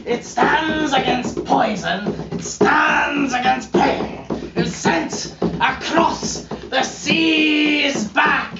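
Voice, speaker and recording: A storyteller giving a dramatic, incantatory poetry reading, theatrical in delivery, swinging between hushed passages and loud cries. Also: sits high, drawn-out words, over loud music. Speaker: storyteller performing a charm. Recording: echoey, dull distant live recording, loud drumming. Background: loud drumming.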